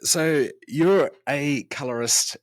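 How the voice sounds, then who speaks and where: A man hosting a podcast interview with a hoarse, strained voice that is nearly gone, chatting in a friendly way with plenty of restarts. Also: a little high, slow, no room sound. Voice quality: raspy. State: hoarse, losing his voice. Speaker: podcast host. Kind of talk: casual interview chat.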